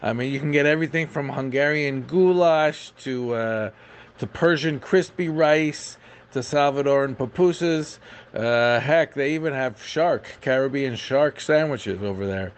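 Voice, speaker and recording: A man's voice: mid-range voice, unhurried, clear, resonant tone. A man giving an informal podcast report on local events, chatty and enthusiastic, with frequent hesitations.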